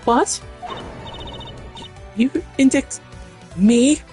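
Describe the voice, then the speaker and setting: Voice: mid-range voice, very slow, dark tone, pitched above the performer's own voice. A streamer voicing a sly, mocking, theatrical woman attorney in a game, teasing and dismissive. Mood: shocked, scornful. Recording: game music behind the voice.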